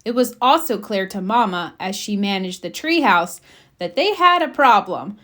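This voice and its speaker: A woman reading a children's storybook aloud, with expressive, emphatic narration for young listeners. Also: mid-range voice, normal speed. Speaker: storybook reader.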